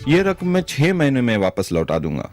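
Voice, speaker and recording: A man playing a kind, honest moneylender in a moral-story cartoon, speaking in a gentle, earnest way. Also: mid-range voice, wildly swooping pitch, moderate pace, dry recording, studio quality, faint music behind. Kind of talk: animated moral-story dubbing.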